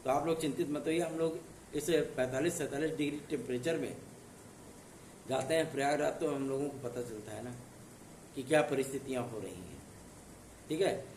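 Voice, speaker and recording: A hoarse man from northern India gives an earnest, reassuring exam-news update, often checking in with his listeners. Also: medium pitch, normal speed, pauses often, darkish tone, distant mic, slight background noise. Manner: earnest, reassuring, with repeated tag questions. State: hoarse from a sore throat. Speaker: exam news channel host. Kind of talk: casual direct-to-camera update. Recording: echoey room, voice off-mic.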